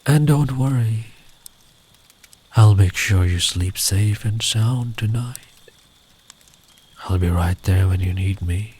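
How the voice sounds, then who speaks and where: Slow, gentle, mellow-voiced man playing a kind traveller. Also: fairly low pitch. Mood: reassuring. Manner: gentle, soothing, reassuring, often near whispering. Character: big, kind, gentle male traveller camping in woods. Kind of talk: ASMR comfort roleplay. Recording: clear background ambient noise.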